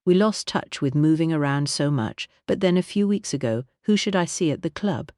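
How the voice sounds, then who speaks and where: A narrator voicing a tall, glamorous American woman entertainer with a soft, smooth American accent, affectionate and motherly. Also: sits low, lively intonation, moderate pace, dry recording, clean studio sound. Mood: confiding. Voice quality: smooth, honeyed drawl. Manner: affectionate, calls everyone honey.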